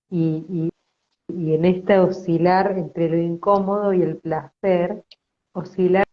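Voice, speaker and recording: A woman hosting a reflective conversation, speaking in a soft, flowing, thoughtful way. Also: on the low side. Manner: soft, flowing and thoughtful, acts out quoted complaints. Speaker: host. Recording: dull lo-fi audio.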